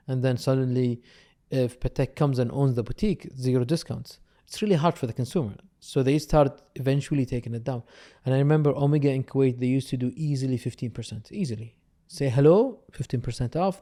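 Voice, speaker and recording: A man with a mellow, warm tone and a middle pitch hosts the conversation. He speaks casually and often restarts his sentences as he goes. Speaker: podcast host. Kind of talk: casual interview chat.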